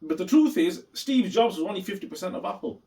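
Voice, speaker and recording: A man giving opinion commentary as a reaction video host, speaking emphatically and deliberately and repeating points for stress. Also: sits high, expressive, mellow. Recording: voice distant from the mic.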